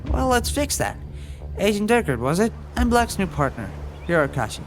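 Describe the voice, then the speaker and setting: A man plays a junior detective in an audio drama. His voice sits a little high and moves expressively as he gives wry, defiant retorts. Music runs underneath. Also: sing-song, normal speed. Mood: defiant, dry. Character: dry-witted, defiant junior male detective partner. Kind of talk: audio roleplay drama acting. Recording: music behind the voice.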